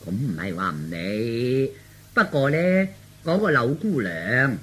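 A woman's voice: a bass voice, very animated, slow pace, slightly rough, exaggerated voice. An actress playing a gossipy, meddling female relative in a radio drama, with a Cantonese accent and a roundabout, insinuating manner. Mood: gossipy, confiding.

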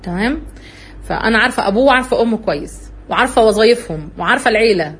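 A woman with an Egyptian Arabic accent giving an earnest religious talk, telling her own story in an animated way. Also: a little high, racing, slightly rough. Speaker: religious speaker.

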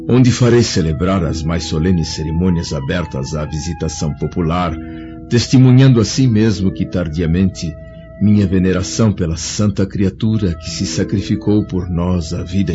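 An actor playing a grieving, aging Roman senator in a radio drama, speaking formally and solemnly. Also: male voice, sits low. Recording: dull lo-fi audio, loud music behind.

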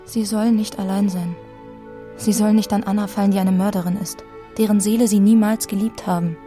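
Woman acting steadfast, mellow-voiced young woman. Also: mid-range voice, fairly even intonation, brisk, faint music behind, lightly acted. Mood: resolute. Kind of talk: literary radio drama acting.

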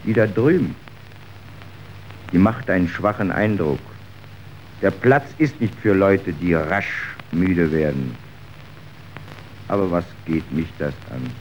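An actor playing a callous, smooth-talking factory foreman in a radio drama, coaxing and persuasive. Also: sits low, exaggerated voice. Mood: brisk, dismissive. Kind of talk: scripted radio drama acting. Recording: dull, faintly hissy old radio recording.

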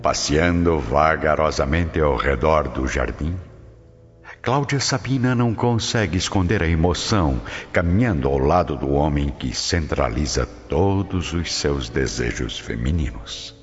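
A man's voice: extremely low pitch, lively intonation, unhurried. A man with a Brazilian accent reading dramatic scripted narration for a radio soap. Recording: long reverb, dull lo-fi, music.

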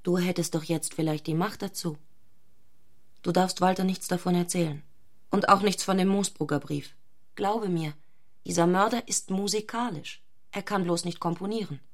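Intense, low-voiced fanatical woman. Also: fairly even intonation, normal speed. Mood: fervent. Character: intense, fanatical young married woman, provocative to her friend. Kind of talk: literary radio drama acting.

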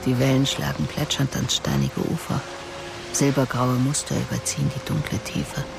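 A woman's voice: a bass voice, little pitch movement, moderate pace, creaky, music in the background, heavily acted. An actress in a German radio drama playing a probing female police inspector who also narrates, composed and direct. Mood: descriptive, composed. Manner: direct, controlled questioning.

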